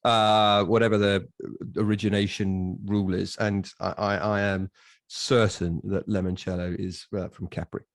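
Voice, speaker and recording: Low-voiced man in casual podcast chat. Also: flat delivery, normal speed, gravelly, no echo, studio quality. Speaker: podcast co-host.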